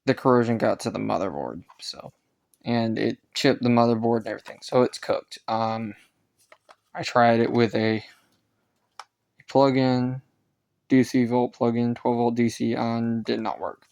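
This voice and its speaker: A man giving a casual, rambling hobbyist gadget review, halting and often correcting himself as he goes. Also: medium pitch, fairly even intonation, creaky, darkish tone, smooth. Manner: halting, self-correcting, unscripted.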